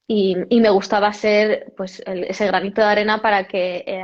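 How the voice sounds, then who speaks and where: Chatty woman on a lo-fi call. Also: medium pitch. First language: Spanish. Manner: chatty, self-correcting, laughs often. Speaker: yoga cartoonist and guest. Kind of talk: casual conversational interview. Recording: dull lo-fi audio.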